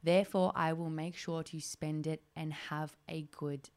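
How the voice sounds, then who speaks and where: A woman's voice: low-pitched, fairly even intonation, unhurried, a little mellow. A woman in her twenties hosting a solo podcast, talking in a chatty, rambling way with lots of "like". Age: 20s. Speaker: podcast host.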